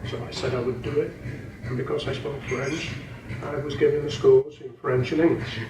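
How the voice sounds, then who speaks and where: An elderly man in his eighties, a native Welsh speaker, recalls decades of snooker coaching in a slow, meandering way. The recording is distant and roomy. A steady hum of background noise sits under his voice. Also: medium pitch. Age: 80s. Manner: unhurried, rambling with many ums and restarts. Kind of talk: interview, reminiscing. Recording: echoey room, distant mic, background noise.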